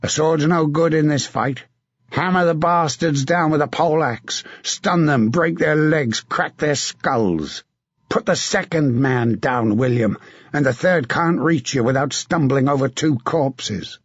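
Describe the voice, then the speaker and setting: A man voicing a gruff, profane veteran knight rallying his men before battle. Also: fairly high pitch, a little slow, no room sound, highs cut off, pitched above the performer's own voice. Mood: gruff rousing. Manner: rousing, profane.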